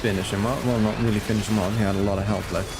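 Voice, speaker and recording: A man's voice: lowish voice, steady tone, rapid-fire, over music. A man chatting casually and playfully with viewers as he plays and comments on a game on a live stream.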